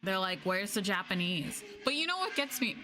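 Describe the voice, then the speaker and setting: A young adult woman chatting casually on a livestream meant as soft ASMR, in a conversational, apologetic venting way. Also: medium pitch, big pitch swings, normal speed, slight background noise. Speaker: streamer, ASMR VTuber.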